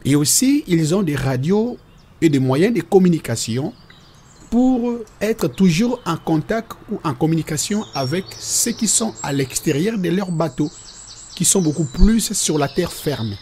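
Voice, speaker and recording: A man's voice: on the high side, animated, a little slow, dark tone, a little hiss or hum. A man playing a patient cartoon father who explains God and nature to children in a reassuring, teacherly way. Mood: explaining. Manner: didactic, reassuring.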